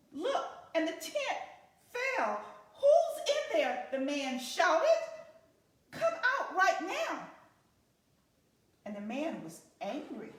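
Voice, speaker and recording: Slow, very animated woman storyteller, echoey recording. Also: high-pitched. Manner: expressive, deliberate. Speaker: library story time reader. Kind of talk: animated children's story reading. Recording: echoey room, distant from the mic.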